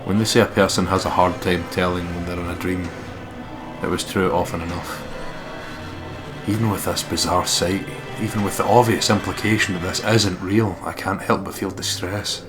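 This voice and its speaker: A man reading a horror visual novel aloud as a let's play narrator, in a measured, dramatic way. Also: sits low, steady tone. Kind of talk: reading game narration aloud, with casual asides. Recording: music behind the voice. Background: game music.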